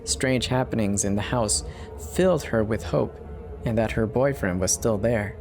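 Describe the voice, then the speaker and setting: A man's voice: on the low side, normal speed, darkish tone, slight background noise. A man narrating a horror story as a dramatic scripted reading. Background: background music.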